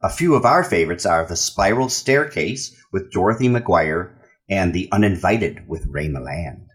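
A man's voice: low-pitched, expressive, normal speed. A man co-hosting a radio show, giving background information with some casual banter.

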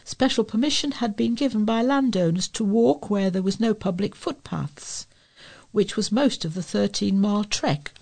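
A British woman reads written pieces aloud in the role of a volunteer newsreader. Her voice is medium in pitch. The delivery is that of someone reading from a printed text. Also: moderate pace, vocal fry, a little mellow. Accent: British English. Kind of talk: reading letters and articles aloud.

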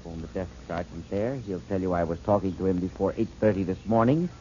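A man's voice: sits low, brisk, warm tone, natural voice. An actor playing a cool, taunting male murder suspect in an old radio drama. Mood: smug. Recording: dull old radio broadcast with hiss.

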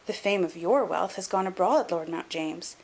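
A woman voicing a shrewd, composed gentleman detective in an audiobook, measured, articulate and dryly superior. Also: fairly low pitch, vocal fry. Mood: smoothly persuasive. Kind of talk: audiobook character acting.

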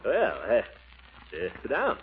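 An actor playing a pompous, easily wounded middle-aged gentleman suitor in an old radio comedy, with a chuckling, fruity, mock-courtly delivery. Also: mid-range voice, huge pitch swings, a little slow, very noisy. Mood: awkwardly polite. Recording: tinny old radio recording, music behind.

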